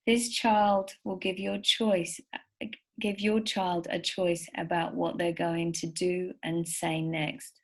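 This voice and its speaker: A woman's voice: on the low side, gravelly. Encouraging woman giving a lecture. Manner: warm, encouraging, anecdotal. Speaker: speech therapist presenter. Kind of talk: recorded slide lecture for parents.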